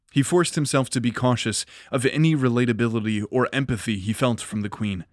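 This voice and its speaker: A man narrating a fantasy audio drama in a steady, even storytelling manner. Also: medium pitch, no echo, studio quality.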